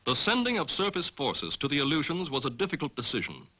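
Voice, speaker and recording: A man's voice: a little high, wildly swooping pitch, quick pace. Formal American male narrator, old film sound. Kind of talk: formal scripted military narration. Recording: dull 1940s film soundtrack.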